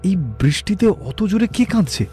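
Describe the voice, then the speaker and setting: A man's voice: sits high, lively intonation, fairly quick, dark tone, breathy edge, faint music behind, full character voice. A man playing a puzzled, curious visitor in a cartoon story, hesitant and full of questions. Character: puzzled, curious male visitor sheltering from rain.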